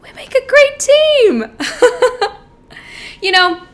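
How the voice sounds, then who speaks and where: A woman's voice: sing-song, a bit bright, heavily acted. A woman acting out a dramatic film monologue as a manipulative, theatrical killer talking to a lawyer. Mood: gleeful, taunting. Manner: foul-mouthed, taunting, theatrical, halting. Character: manipulative killer client, meek at first, then gloating and mocking. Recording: faint noise and low rumble.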